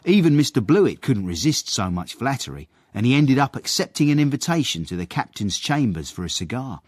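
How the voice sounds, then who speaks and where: Sly, husky manservant. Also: male voice, mid-range voice, big pitch swings, brisk, dry recording, clean studio sound. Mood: amused storytelling. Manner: wry, mock-grand storytelling. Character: sly, knowing, self-justifying manservant narrator. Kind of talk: period radio drama narration addressed to the listener.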